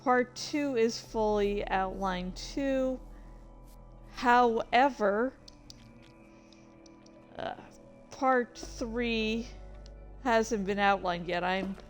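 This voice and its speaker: A woman streamer chatting casually about her own writing in a halting, rambling, self-deprecating way. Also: fairly high pitch. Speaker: streamer and fan fiction author. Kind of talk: casual livestream chat.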